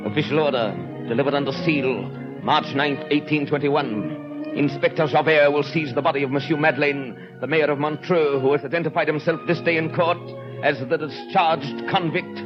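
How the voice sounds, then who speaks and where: A man's voice: fairly high pitch, fairly even intonation, moderate pace, close to an everyday voice. A man plays a stern, vengeful male police inspector from a 1930s radio play. He declaims in grave, clipped pronouncements with rigid intensity. The old broadcast is dull and narrow, with music behind him. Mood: formal, official. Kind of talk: 1930s radio drama acting, dramatic monologue.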